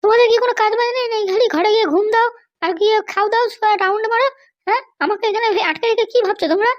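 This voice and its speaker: A woman's voice: very high-pitched, steady tone, very fast, dull audio. A woman voicing an arrogant, scolding madam behind bars in an amateur comedy cartoon. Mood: angry, complaining. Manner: bossy, scolding. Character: arrogant, threatening jailed madam demanding luxuries and release.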